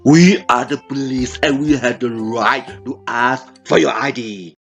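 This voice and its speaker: A man rants in an indignant, sarcastic commentary. His voice sits at an ordinary middle pitch. Faint music plays beneath the voice-over. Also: a little slow, gravelly. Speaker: commentary host. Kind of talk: opinionated video commentary.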